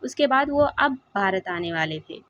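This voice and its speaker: A woman's voice: medium pitch, expressive, normal speed, a bit bright, a little hiss or hum. Woman telling a heartfelt moral tale, voice goes up and down a lot, kinda lo-fi audio. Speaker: storyteller. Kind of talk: scripted narration of a sentimental moral story. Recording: dull, lo-fi sound.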